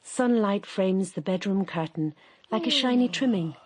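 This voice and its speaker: A woman narrating a radio drama in a measured, gentle voice. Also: medium pitch, animated, crowd noise. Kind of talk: scripted narration.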